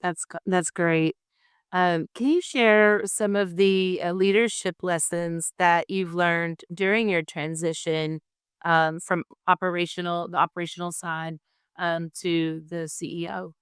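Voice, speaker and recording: A woman's voice: lowish voice, steady tone, unhurried, smooth, no room sound, studio quality. A woman hosting an interview, giving encouraging replies and asking questions with some fillers. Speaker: podcast host. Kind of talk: interview host.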